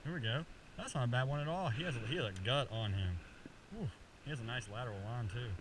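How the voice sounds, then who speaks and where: A young man talks in a loose, slangy way as he fishes, often exclaiming. His voice sits in a middle pitch and comes from a distant mic, with clear outdoor noise around it. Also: fast. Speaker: fishing vlogger. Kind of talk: casual excited chat while fishing. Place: outdoors on a small boat on a lake. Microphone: action camera worn on the body.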